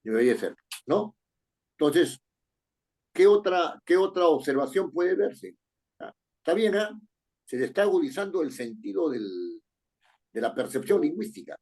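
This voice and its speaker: Husky-voiced man teaching writing. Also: a little high, very animated, a little mellow. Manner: reads text aloud slowly, then explains with rising questions like "¿no es cierto?". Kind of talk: correcting a student's paragraph in class.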